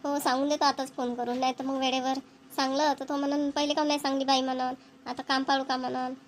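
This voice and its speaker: A woman's voice: sits high, flat delivery, quick pace, close to an everyday voice. A woman voicing a patient village mother. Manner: rural Marathi dialect, patient, agreeable. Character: village mother, patient, homely, dutiful wife. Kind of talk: cartoon voice acting.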